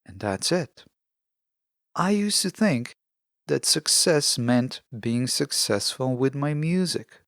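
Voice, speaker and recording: Guy doing a reflective solo podcast, some vocal fry, mid pitch, measured and earnest, really dry close mic. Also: no echo. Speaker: podcast host.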